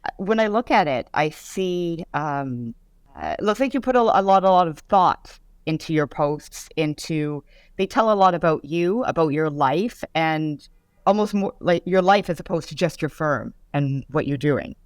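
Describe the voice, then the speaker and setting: A woman's voice: low voice, animated, normal speed, no echo, clean studio sound. A middle-aged woman hosting a legal podcast, chatting enthusiastically with fillers as she interviews her guest. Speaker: podcast host, lawyer. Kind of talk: casual interview conversation.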